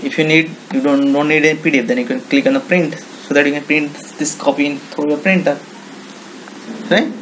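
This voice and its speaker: A man with an Indian accent giving an informal, unscripted software tutorial in halting English with non-native phrasing. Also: on the high side, steady tone, a little hiss or hum, rough recording. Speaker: software demonstrator.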